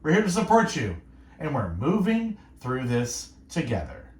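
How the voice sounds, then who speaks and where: Calm radio guy, soft and meditative, voice swings up and down a lot, echoey room. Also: a little high, unhurried. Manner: calm, soothing, meditative, musing aloud. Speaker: radio host. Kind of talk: gentle devotional radio talk.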